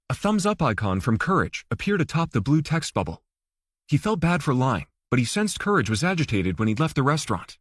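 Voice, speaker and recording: A man's voice: medium pitch, breathy edge, dry recording, clean studio sound. A man reading scripted fiction as an audiobook narrator.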